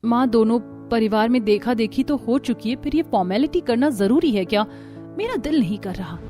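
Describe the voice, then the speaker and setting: A woman's voice: a little high, animated, moderate pace, mellow, faint music behind, close to an everyday voice. A woman voicing a shy, polite and easily bewildered young bride-to-be in a cartoon comedy. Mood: reluctant. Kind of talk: Hindi cartoon comedy acting.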